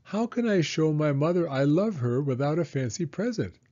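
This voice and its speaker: A man's voice: fairly high pitch, very animated, normal speed, mellow, muffled recording, pitched above the performer's own voice. A man voicing an earnest, loving young boy turtle who asks questions in a children's storybook. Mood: earnest, puzzled.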